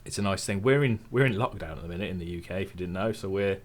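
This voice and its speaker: A young man from the English Midlands talks in a low, deep voice, with his regional accent clear in every phrase. He is laid-back and chatty, poking gentle fun at himself as he answers questions in a relaxed interview. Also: very fast. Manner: relaxed, chatty, self-deprecating. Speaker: tech YouTuber guest. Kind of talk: casual interview chat.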